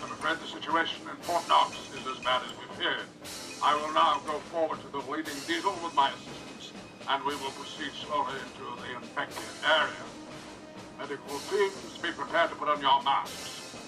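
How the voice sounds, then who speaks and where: An actor playing a businesslike aide in a radio play, making formal announcements to a team. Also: male voice, on the high side, steady tone, normal speed, close to an everyday voice. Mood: urgent, official. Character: businesslike male aide briefing medical teams. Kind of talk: radio drama acting. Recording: distant voice over music, radio play.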